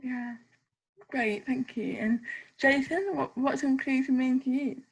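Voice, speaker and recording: High, gravelly-voiced woman hosting. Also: fairly even intonation, measured pace, low-quality recording. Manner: upbeat, thanks each speaker and hands over. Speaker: webinar host. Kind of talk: moderating a panel webinar.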